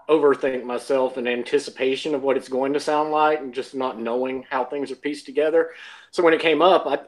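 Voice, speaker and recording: Higher-pitched man chatting reflectively. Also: rough recording. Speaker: voice actor, podcast guest. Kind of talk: casual chat.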